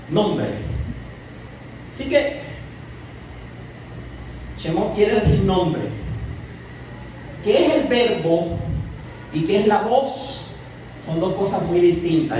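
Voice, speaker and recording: A man's voice: sits high, a little mellow. A Puerto Rican man with a Puerto Rican accent teaching a weekly Torah portion to a congregation, often asking questions and adding "¿verdad?". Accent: Puerto Rican Spanish. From Puerto Rico. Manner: asks the audience questions and repeats words, often adding a tag ¿verdad?. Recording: very echoey distant room recording with noise.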